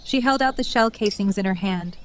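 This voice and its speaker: A woman's voice: medium pitch, fairly quick, slight background noise. A woman reading scripted story narration for an audio drama.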